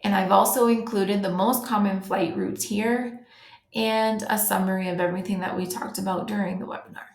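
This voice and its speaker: A woman's voice: medium pitch, steady tone, normal speed, vocal fry, a little mellow. A woman from the United States giving a friendly, informal webinar presentation to travel agents, with frequent ums and restarts. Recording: roomy echo.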